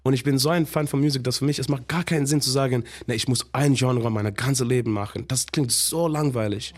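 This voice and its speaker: Animated man with an English accent. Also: medium pitch. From Zimbabwe. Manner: animated, mixes English into halting German, laughs often. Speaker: musician guest. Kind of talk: casual radio interview.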